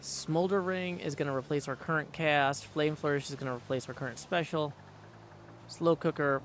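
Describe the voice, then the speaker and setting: A man's voice: on the high side, fairly even intonation, moderate pace, fairly bright tone, a little hiss or hum. A man doing casual live game commentary, thinking aloud and reading game text out as he plays. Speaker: game streamer practicing voice acting.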